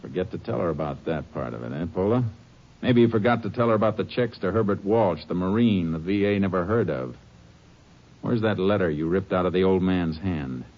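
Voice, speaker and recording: An actor playing a firm, clipped Texas Ranger who also narrates a 1950 radio drama. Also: male voice, low-pitched, lively intonation, a little fast. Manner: firm, clipped, matter-of-fact. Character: steady, tough male Texas Ranger. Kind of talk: 1950s radio drama acting. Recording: dull lo-fi 1950 radio transcription.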